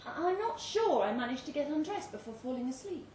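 A woman giving an expressive, theatrical reading of a suspenseful short story at a live indoor event. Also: sits high, a little fast. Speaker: story reader. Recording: roomy live venue, distant mic, faint noise.

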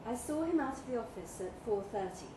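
A woman's voice: fairly high pitch, lively intonation, fairly quick. A woman reading a first-person literary short story aloud at a live event in a pub. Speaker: story reader. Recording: roomy live pub recording, clear background noise.

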